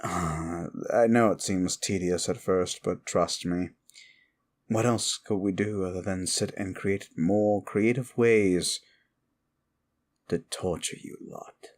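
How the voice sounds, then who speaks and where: A man's voice: low-pitched, slow, a little mellow. A man voicing a sardonic, seductive demon of desire in a comfort ASMR roleplay, teasing and musing without hurry. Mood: darkly amused.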